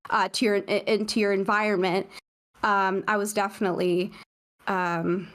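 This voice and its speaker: A young woman from Canada chats as a podcast guest in a mid-pitched, everyday voice. She rambles, trailing through fillers and restarting sentences as she goes. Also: little pitch movement, moderate pace, fairly bright tone. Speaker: guest streamer. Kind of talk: casual podcast chat.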